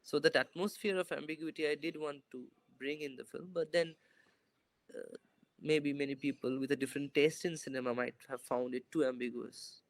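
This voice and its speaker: A man's voice: on the high side, fairly even intonation, moderate pace, vocal fry, dry recording. A young man, a filmmaker, answering interview questions over a video call in a thoughtful, hesitant way with many fillers and restarts. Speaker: filmmaker guest. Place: bright indoor room. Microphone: video call, laptop camera.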